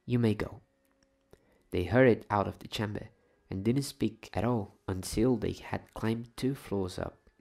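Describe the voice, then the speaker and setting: A man reading a bedtime story in a soft-spoken, unhurried ASMR style. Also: fairly low pitch, creaky. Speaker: host of an ASMR story channel.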